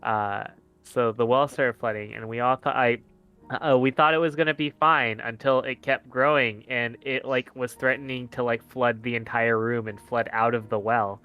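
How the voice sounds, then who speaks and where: A man's voice: medium pitch, steady tone, moderate pace, clean tone, lo-fi sound, soft background music. A man playing a tabletop game, telling a casual, rambling recap in an informal way.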